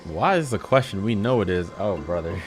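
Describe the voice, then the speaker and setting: Low-voiced man reacting to a game. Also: lively intonation, brisk. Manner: slangy, teasing back-talk to the screen. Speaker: gaming reaction streamer. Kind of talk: casual gameplay reaction commentary. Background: game music under the voice.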